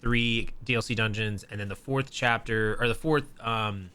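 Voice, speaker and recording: A man's voice: fairly low pitch, fairly even intonation, normal speed, pushed and bright, smooth. A young man co-hosting a gaming podcast, chatting casually and rambling with many fillers and restarts. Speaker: podcast co-host.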